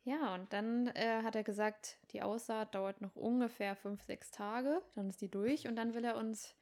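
A woman's voice: fairly high pitch, fairly even intonation, moderate pace, a little mellow. Chatty young German woman. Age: young adult. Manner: chatty, laughs often, acts out quotes. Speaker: podcast co-host. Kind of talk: casual chat.

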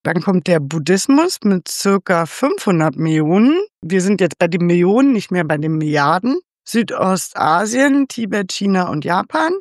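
A woman's voice: on the low side, sing-song, moderate pace, few pauses, dry recording, studio quality. A woman hosting, giving a casual, slightly unsure overview and checking facts with her guests. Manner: chatty, a bit unsure, asks for confirmation. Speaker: host.

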